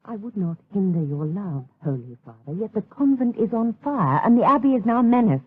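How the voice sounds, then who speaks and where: A woman's voice: mid-range voice, animated, fairly quick, natural voice. A woman playing a cold, scheming villainess in a gothic audio drama, imperious and scornful. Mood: cold, urgent. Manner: imperious, melodramatic. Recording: tinny noisy old radio drama.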